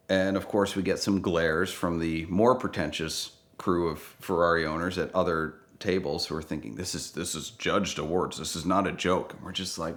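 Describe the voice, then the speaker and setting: Male storyteller, very low voice, casual and animated, plays out the dialogue. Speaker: car owner telling a story.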